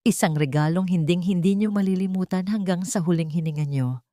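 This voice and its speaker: A woman playing a powerful, vengeful wealthy woman in an audio drama, soft, controlled and menacing. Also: lowish voice, brisk, dry recording, clean studio sound, natural voice. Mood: quiet menace.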